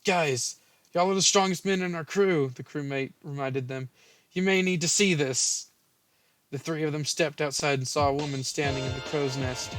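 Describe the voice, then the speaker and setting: A man's voice: on the high side, normal speed, a bit bright, no room sound, soft background music. A young man casually reading a story aloud and bantering about it. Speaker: host reading own old fan fiction.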